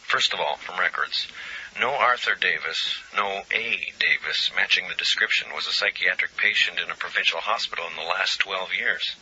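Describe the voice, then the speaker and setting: Deep, sharp-toned hospital colleague. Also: male voice. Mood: matter-of-fact. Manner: matter-of-fact, hesitant pauses. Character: businesslike, easygoing male hospital colleague. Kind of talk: 1980s radio drama acting. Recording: dull, noisy 1980s radio recording.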